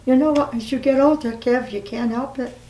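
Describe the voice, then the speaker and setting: An elderly woman reading messages aloud and commenting casually in a blunt, scolding, animated manner. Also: sits high, a little fast. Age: 70s or older. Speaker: grandmother reading messages for a family video channel. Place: living room, indoors in an armchair. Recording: echoey room, distant mic, music.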